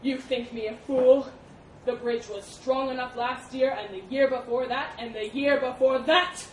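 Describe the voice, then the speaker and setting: A woman's voice: a little high, steady tone, slow pace. Lady doing a big bully elephant in a kids fable, cold n suspicious, echoey far off mic. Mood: furious. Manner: cold, haughty, threatening. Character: proud, menacing, paranoid male elephant, huge and bullying. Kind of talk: animal fable storytelling. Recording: roomy, distant mic with background noise.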